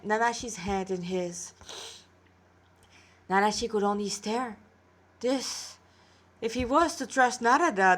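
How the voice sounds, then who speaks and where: A woman's voice: high voice, a bit bright. A tired-sounding woman reads a story aloud as an amateur narrator, dramatic in delivery and informal in her asides, recorded on a tablet. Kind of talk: narrated story reading with character dialogue.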